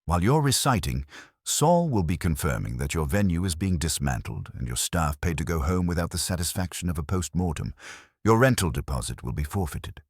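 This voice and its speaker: A man voicing an imperious, erudite older detective in an audiobook, unhurried and pompous, with ornate, commanding phrasing. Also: low voice, sing-song, husky, no echo, studio quality, heavily acted. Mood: stern, commanding. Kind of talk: audiobook detective story dialogue.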